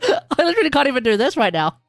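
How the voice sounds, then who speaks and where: A woman's voice: sits high, huge pitch swings, quick pace, creaky, no room sound, faint music behind. Rambling, laughing woman streamer. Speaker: game streamer. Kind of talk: casual stream chat.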